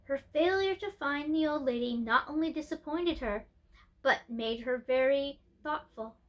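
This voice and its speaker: High-voiced woman reading a storybook aloud. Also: measured pace, low-quality recording. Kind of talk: scripted narration, storybook read-aloud.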